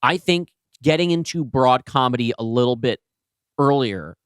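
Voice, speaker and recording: A man's voice: medium pitch, lively intonation, normal speed, fairly bright tone, smooth, dry recording, clean studio sound. A man co-hosting a podcast, discussing things casually in an analytical, encouraging way. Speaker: podcast co-host.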